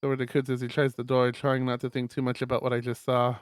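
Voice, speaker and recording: A man streaming a visual novel, reading it aloud as expressive narration with casual asides. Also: mid-range voice, fast talker, dry recording, studio quality.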